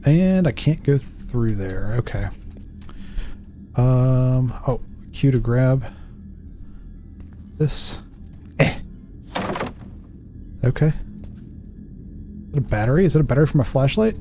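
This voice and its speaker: A man in his thirties narrates his gameplay casually, thinking aloud at an unhurried pace. He speaks in a medium-pitched voice close to the mic and often questions himself. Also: expressive, mellow, soft background music. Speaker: gaming YouTuber. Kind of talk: casual let's play commentary. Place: indoor home setup.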